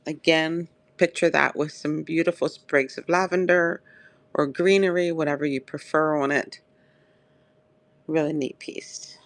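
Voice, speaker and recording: A woman's voice: low voice, fairly even intonation, measured pace. A woman hosting a live online auction of pottery, chatty and upbeat, her throat dry and crackly. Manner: chatty, upbeat, addresses viewers by name. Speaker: live-stream seller. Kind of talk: live auction selling chat.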